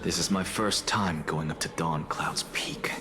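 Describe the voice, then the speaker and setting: Proud, weary low-voiced scholar. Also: male voice, steady tone, a little slow. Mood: dry, irritated. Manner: dry, haughty, sardonic. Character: dying proud young male scholar, blunt and skeptical. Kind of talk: video game story acting. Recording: game music behind the voice.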